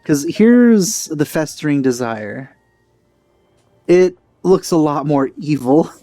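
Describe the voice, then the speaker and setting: A man's voice: on the high side, expressive, takes it slowly, dark tone. A man giving casual let's play commentary, informal and musing to himself as he goes. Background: faint game music.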